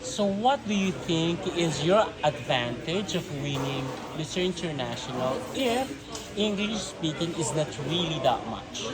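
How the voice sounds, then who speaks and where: A man with a Filipino accent asking interview questions in a polite, halting way with many fillers. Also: fairly high pitch, animated, low-quality recording. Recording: crowd chatter behind. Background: crowd chatter.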